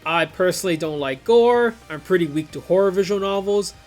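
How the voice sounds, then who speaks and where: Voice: medium pitch, animated, brisk, darkish tone, soft background music. A video creator giving scripted commentary in an informal, wry way. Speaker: video creator reviewing visual novels.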